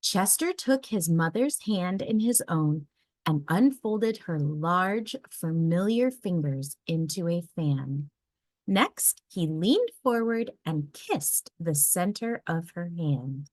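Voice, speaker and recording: A woman narrating a children's storybook as a read-aloud, with careful, expressive delivery. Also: fairly low pitch, slow, dry recording.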